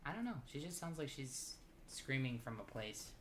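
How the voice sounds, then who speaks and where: Casual tabletop player, medium-pitched conversational voice, clear noise behind. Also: big pitch swings, normal speed, fairly bright tone. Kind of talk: casual chat.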